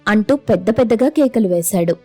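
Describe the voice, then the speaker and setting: A woman narrates a scripted moral story as the storyteller. Her voice sits at a medium pitch, with faint music playing behind it. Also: animated, fairly quick, dark tone.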